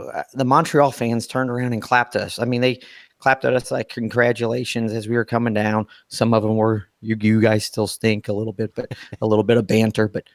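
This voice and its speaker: A hoarse man, co-hosting a casual sports podcast, rambling and repeating himself in an upbeat way. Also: lowish voice, no echo. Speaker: podcast co-host. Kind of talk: casual chat.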